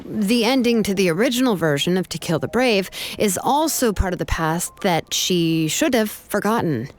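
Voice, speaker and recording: A woman playing a cool, shrewd and determined young woman in a video game, probing and firm in manner. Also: fairly low pitch.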